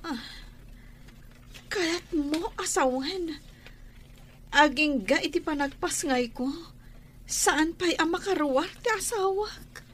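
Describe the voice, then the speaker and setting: A woman's voice: sits high, slow pace, long pauses, a bit bright. A woman acting as a worried, grateful pregnant wife who speaks deferentially in a radio drama. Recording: noticeable background ambient noise.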